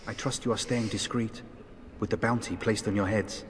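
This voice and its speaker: A male actor as a courteous knight of a fantasy video game, worn down and losing hope. His voice sits low and his phrasing is formal and courtly. It carries long reverb with faint noise underneath. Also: steady tone, moderate pace, lightly acted. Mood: courteous, grave.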